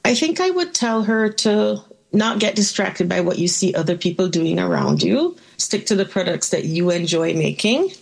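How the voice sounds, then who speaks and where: A woman with a low, husky voice and a gravelly edge speaks as a radio guest. She chats in a relaxed way, with easy fillers and laughter. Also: moderate pace, hardly any pauses. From Canada and Jamaica. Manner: relaxed, chatty, laughs easily, uses fillers. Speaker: guest, small business owner. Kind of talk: radio interview.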